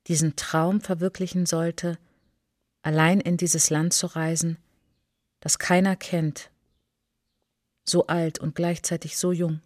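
A woman playing an introspective, wounded traveller who recalls an outcast childhood in a measured, poetic radio drama monologue, with a husky voice. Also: fairly low pitch, dry recording, studio quality. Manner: measured, deliberate, short phrases. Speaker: narrator and lead of an autobiographical radio play.

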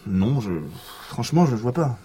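An actor playing a flustered, chatty eccentric suspect in a French audio drama, stammering and rambling with a French accent. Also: male voice, medium pitch, animated, moderate pace, warm tone, breathy edge. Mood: earnest, defensive.

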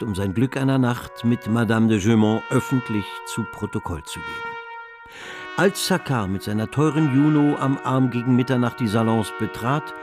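A male storyteller played by an actor narrates a historical radio drama in measured, literary phrases. His mid-pitched voice observes the world in detail, with music clearly playing behind him. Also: natural voice.

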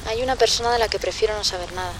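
A woman's voice: medium pitch, racing, a bit bright, a little hiss or hum, natural voice. An actress playing a troubled, guarded woman with a Castilian accent, speaking in a downcast way in a radio drama. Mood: guarded, conversational. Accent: Castilian Spanish. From Spain. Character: troubled, sleepless, guarded woman friend in Madrid. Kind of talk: radio drama acting, phone-style conversation.

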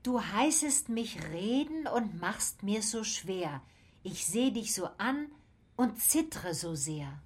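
Radio drama part: female private investigator, mid-pitched, polite, dry, persistent in questioning. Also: big pitch swings, slow, slightly rough, full character voice. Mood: earnest.

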